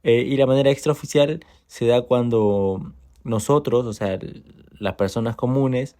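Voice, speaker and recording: A man co-hosting a podcast, explaining a topic in a measured way with occasional fillers. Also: mid-range voice, warm tone. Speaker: podcast co-host. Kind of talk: informative explainer.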